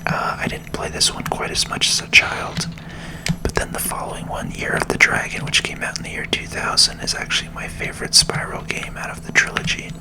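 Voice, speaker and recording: A person whispering ASMR commentary while playing a video game, in a gentle, unhurried manner. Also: low-quality recording. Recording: music under the voice. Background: game music.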